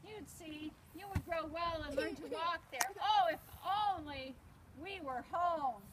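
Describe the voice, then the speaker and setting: Through heavy background noise with clicks and animal sounds comes a woman with a very high voice. She reads a children's storybook aloud, dropping in casual asides. Her manner is encouraging as she prompts a reading partner. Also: measured pace. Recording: very noisy recording with clicks, animals nearby. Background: animal noises, donkey and goats around.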